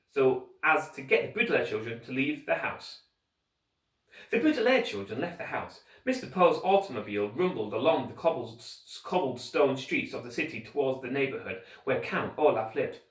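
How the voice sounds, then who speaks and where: A man reading a children's story aloud like a teacher, with expressive, deliberate scripted narration. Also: mid-range voice. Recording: roomy echo, distant microphone.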